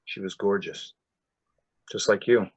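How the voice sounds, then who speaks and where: A man playing a doting, harried father in a play performed as a video call, hurried but indulgent and gently scolding. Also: on the low side, slightly rough, muffled recording.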